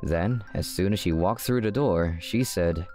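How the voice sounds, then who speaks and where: A man's voice: low voice, measured pace, darkish tone, soft background music. A man as a casual teenage schoolboy narrator. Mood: deadpan, narrating. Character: guarded, deadpan teenage schoolboy narrating his story. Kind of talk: scripted first-person manga dub narration.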